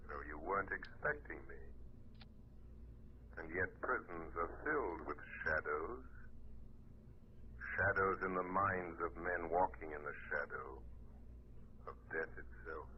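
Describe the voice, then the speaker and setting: An actor playing a menacing male mind-reading avenger in a 1930s radio drama, with a low, sinister, taunting voice. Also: expressive, measured pace, long pauses. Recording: muffled old radio broadcast, faint hiss.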